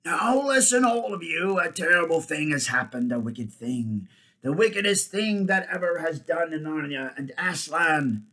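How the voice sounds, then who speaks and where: A man's voice: medium pitch, expressive, measured pace, sharp tone. A man voicing a whining, self-important, scheming ape in a storybook, whimpering and ranting. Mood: ranting, alarmed. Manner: whimpering, muttering, then ranting. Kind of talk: storybook character voice.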